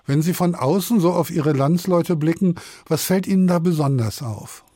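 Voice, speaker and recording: A male radio host presenting and interviewing guests with crisp, scripted introductions. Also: a little high, normal speed, warm tone, no room sound, clean studio sound.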